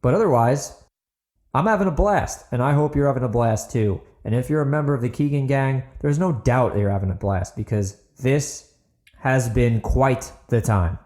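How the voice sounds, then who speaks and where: A man's voice: mid-range voice, normal speed, darkish tone. A man hosting a fiction podcast, reading his own novel dramatically and chatting to listeners with enthusiasm. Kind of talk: dramatic audiobook narration and casual podcast outro.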